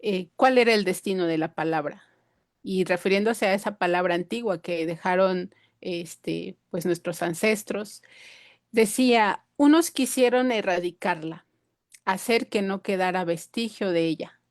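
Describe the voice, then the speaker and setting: A woman's voice: mid-range voice, unhurried, no room sound. Hesitant woman speaker, dry sound. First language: Purépecha. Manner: hesitant, many fillers and restarts. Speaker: invited speaker. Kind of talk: conference talk.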